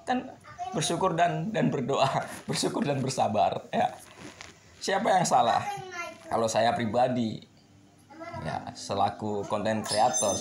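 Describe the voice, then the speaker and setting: A man's voice: mid-range voice, noisy background. A middle-aged man chatting casually with viewers while he fixes a bike in his repair shop, rambling and laughing easily. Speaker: bike mechanic vlogger. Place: bicycle repair shop, indoors.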